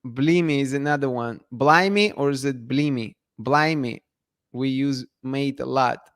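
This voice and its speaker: A man's voice: mid-range voice, a little slow, a bit bright, no room sound, clean studio sound. A man from Russia, a channel host chatting casually and answering viewer comments with frequent restarts and laughs. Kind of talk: casual live-stream chat reading viewer comments.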